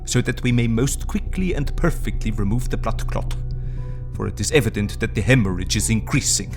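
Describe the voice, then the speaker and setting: A man playing a grave, learned older professor and surgeon in an audio drama, speaking in measured, deliberate phrases with a creaky voice. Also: on the low side, music in the background. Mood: grave, urgent.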